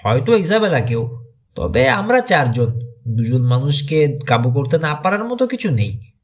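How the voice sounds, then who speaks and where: Man reading as an earnest, animated teenage cave boy. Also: a little high, measured pace. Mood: explaining.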